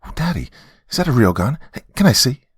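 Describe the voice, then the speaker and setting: A man voicing an excitable, curious little girl with a pouty, pleading manner, in a dramatic audio story. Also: on the high side, normal speed, vocal fry, husky, no echo, studio quality, voice pushed up, heavily acted. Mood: excited.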